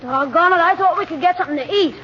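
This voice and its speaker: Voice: very high-pitched, fast, bright, forceful tone. A performer playing an eager, hungry, wisecracking schoolboy nephew in 1940s radio comedy, brash and slangy. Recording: muffled, noisy old radio recording.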